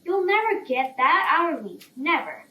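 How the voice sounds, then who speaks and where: A woman's voice: high voice, big pitch swings, normal speed, bright, forceful tone, voice pushed up, exaggerated voice. A woman voicing a secretive, worried, blustering male elephant in a children's bedtime story. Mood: insistent. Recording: roomy, distant, faint background noise.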